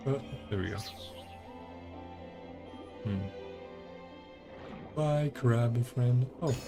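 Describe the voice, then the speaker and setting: Creaky-voiced man, casual gaming commentary. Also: mid-range voice, very slow, over music. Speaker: game streamer.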